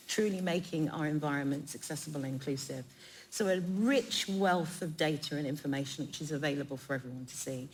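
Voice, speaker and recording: A woman's voice: deep voice, poor audio. A woman panellist giving a prepared but conversational, articulate corporate update at a live event. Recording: clear background noise, live event.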